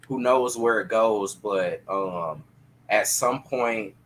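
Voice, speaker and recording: A man chatting casually on a podcast panel in an animated, slangy, profane way. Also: on the low side, slow, a little hiss or hum, rough recording.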